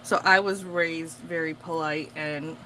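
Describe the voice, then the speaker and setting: A woman's voice: low voice, fairly even intonation, unhurried, bright, forceful tone, a little hiss or hum, poor audio. A young woman whose first language is Portuguese, talking casually and rambling with lots of fillers while she crafts. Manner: chatty, rambling with many fillers. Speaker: craft vlogger. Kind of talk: casual chat.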